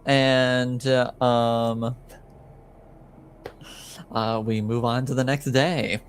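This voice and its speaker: A man narrates a tabletop roleplaying game as its game master. His mid-pitched voice moves slowly, with an informal, hesitant delivery as he thinks aloud. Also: flat delivery, slight background noise.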